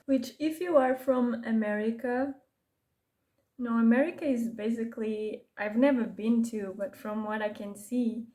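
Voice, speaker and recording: A young woman with a Portuguese accent talks through her makeup routine in a relaxed, unhurried way. Her voice is mellow and a little high, and she drifts into asides and corrects herself as she goes. English is not her first language. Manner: casual, self-correcting, rambling asides. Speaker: beauty vlogger. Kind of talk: chatty get-ready-with-me makeup talk.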